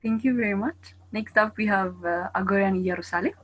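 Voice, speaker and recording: A woman's voice: medium pitch, a little fast. A woman chairing a formal online meeting, introducing each participant in turn. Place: online video call. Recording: online call with faint noise, clicks.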